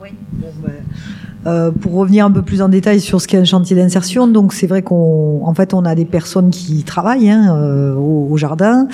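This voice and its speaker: Mellow-voiced woman explaining with many pauses. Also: fairly low pitch, a little hiss or hum. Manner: hesitant, many fillers and restarts, explanatory. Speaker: director of a work-integration market garden. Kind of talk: radio interview guest explaining her organisation.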